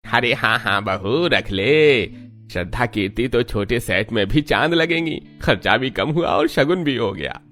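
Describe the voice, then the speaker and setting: A man's voice: mid-range voice, lively intonation, a little slow, fairly bright tone. A man playing a greedy, penny-pinching older relative in a family story drama. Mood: smugly approving. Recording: music behind the voice.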